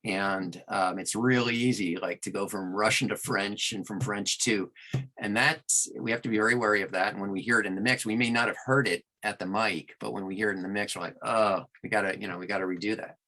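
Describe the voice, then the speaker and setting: Male interview guest, lower register, clear forward brightness, deliberate explainer talking shop. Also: steady tone, poor audio. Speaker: audiobook narrator and producer, podcast guest. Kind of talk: conversational interview answers.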